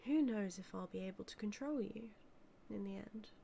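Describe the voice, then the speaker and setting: A woman with a British accent playing a doting, villainous mad scientist in an audio roleplay, musing in a measured, condescending yet tender way. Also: mid-range voice, gravelly, dark tone, slight background noise, natural voice. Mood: pensive, ambitious. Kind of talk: scripted audio roleplay monologue.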